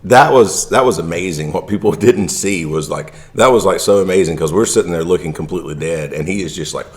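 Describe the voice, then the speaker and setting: Very deep-voiced man chatting casually. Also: brisk. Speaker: martial arts podcast host. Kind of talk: casual podcast chat.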